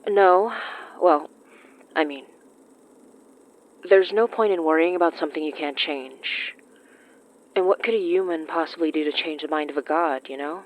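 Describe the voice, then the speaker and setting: A woman's voice: sits low, moderate pace, long pauses, highs cut off, lightly acted. An actress playing an earnest, troubled young woman, speaking hesitantly and thoughtfully in a naturalistic audio drama. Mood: pensive.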